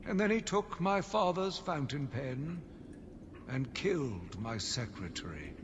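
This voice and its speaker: An actor playing an embittered, zealous asylum doctor who gives a solemn, theatrical monologue for a video game audio log. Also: male voice, mid-range voice, big pitch swings. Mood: bitter. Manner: solemn, measured, brooding, theatrical. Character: embittered male asylum doctor, grief-stricken, zealous, slowly turning vengeful. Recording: long reverberant echo, loud background noise.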